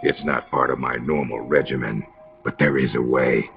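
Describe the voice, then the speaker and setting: Gravelly, bottom-deep sadistic interrogator. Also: male voice, fairly even intonation, moderate pace. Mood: cold, calculating. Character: menacing, sadistic male interrogator soldier, casually cruel. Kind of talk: dramatic video game voice acting. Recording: dull compressed game audio with music.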